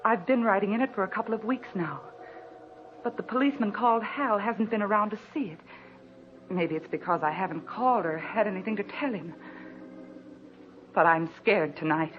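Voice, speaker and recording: Woman acting a scared wife, 1940s radio thriller, tremulous, halting, dramatic, dull recording with some hiss. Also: mid-range voice, lightly acted. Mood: anxious. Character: frightened, anxious wife writing in her notebook. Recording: muffled, noisy old radio broadcast recording.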